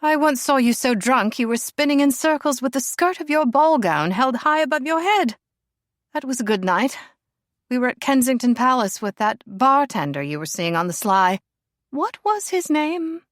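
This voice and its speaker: A woman's voice: high voice, expressive, moderate pace, no echo, clean studio sound, pitched above the performer's own voice. A woman voicing a flamboyant aristocratic mother, grand and over-the-top. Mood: indignant.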